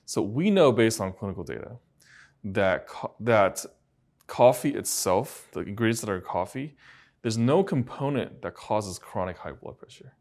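A man from China, a physician, interviewing a guest in a halting, curious way with many restarts. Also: fairly low pitch, lively intonation, a little mellow. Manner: halting, repeats words, curious. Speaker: physician host. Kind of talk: casual interview.

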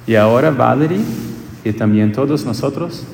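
Priest with Portuguese accent, echoey church. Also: male voice, medium pitch, gravelly, a little mellow, slight background noise. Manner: slow, searching phrasing with frequent restarts. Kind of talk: homily at a quinceañera Mass. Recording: echoey church with long reverb.

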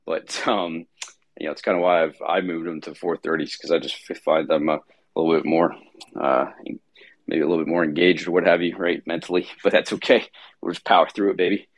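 Male host, very low husky voice, tired and rambling, casual delivery. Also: flat delivery, brisk, no room sound. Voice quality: raspy. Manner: rambling, heavy with fillers and restarts. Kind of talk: casual market-review livestream chat.

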